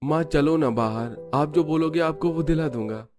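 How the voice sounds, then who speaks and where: Man acting a higher-voiced, coaxing young son. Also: moderate pace, over music, lightly acted. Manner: polite, reasoning, coaxing. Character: reasonable, pleading young grown son, family peacemaker. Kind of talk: Hindi cartoon story dialogue.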